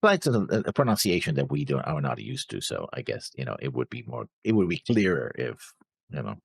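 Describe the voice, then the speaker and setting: Low, gravelly man with a halting delivery. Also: sing-song, no room sound, studio quality. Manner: hesitant, halting, often repeats words. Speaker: co-host of anime reaction show. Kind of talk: casual discussion, reading viewer comments.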